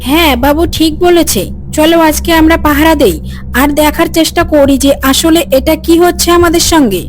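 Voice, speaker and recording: A woman's voice: sits high, a little fast, a little hiss or hum, close to an everyday voice. A woman playing an astonished, supportive village wife and mother in a cartoon moral story, wondering and exclaiming. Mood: eager. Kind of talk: cartoon dialogue.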